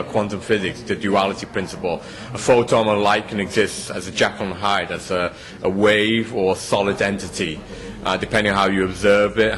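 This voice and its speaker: A man's voice: lowish voice, a bit bright. A middle-aged man, grew up in England, explaining his theory at length as an interviewee, full of hesitant fillers and restarts. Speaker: physicist interviewee.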